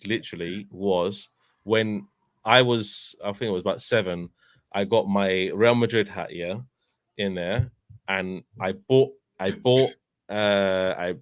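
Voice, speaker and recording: A man in his thirties with a London accent, chatting casually as a fan channel host, telling animated stories with lots of filler tags. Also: on the low side, unhurried, no room sound. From England. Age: 30s. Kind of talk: casual chat.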